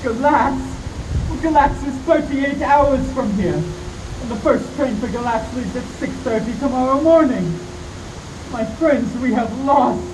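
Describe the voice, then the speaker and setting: Heard from off-mic in an echoey space, a commanding vampire hunter acted by a man declaims with urgency. It is old-fashioned radio-play acting over clear background hiss. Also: very high-pitched, a little slow, distant mic. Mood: urgent, commanding. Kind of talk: 1930s-style radio drama acting.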